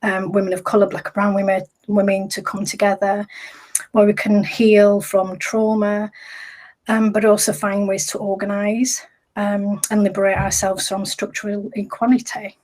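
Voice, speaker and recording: A woman, founder of a community project, speaking in a measured, steady way with fillers on a video call panel. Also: mid-range voice, rough recording. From Sheffield and Rotherham, England. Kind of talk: live-stream panel discussion.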